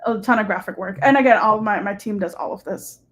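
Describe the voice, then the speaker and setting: A woman's voice: medium pitch, quick pace. A young adult woman, a guest on a live-streamed interview, talking casually and upbeat with many "like" and "um" fillers. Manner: chatty, upbeat, lots of "like" and "um" fillers. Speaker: guest, short-term rental host and hotel manager.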